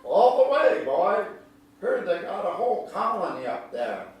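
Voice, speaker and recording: A man's voice: sits high, sing-song, a little slow. An actor playing a folksy older country man, a kindly, teasing storyteller with a homespun drawl, in a theatrical staged reading. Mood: playful, mock alarm. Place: large echoey indoor room at a staged reading. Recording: echoey hall, distant mic, faint noise.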